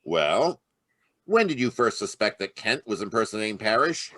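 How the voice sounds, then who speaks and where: A man playing a weary deep-voiced inspector. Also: big pitch swings, normal speed, a bit bright, dry recording, clean studio sound. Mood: gruff. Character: weary American male police inspector. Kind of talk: old-time radio play reading.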